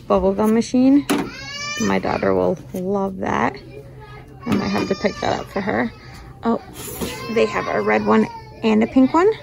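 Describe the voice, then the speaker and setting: A woman's voice: mid-range voice, gravelly. A woman in her thirties filming herself in a shop and chatting casually about the cute items she finds. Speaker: vlog host. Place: inside a shop. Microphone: handheld phone camera. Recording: noisy shop background.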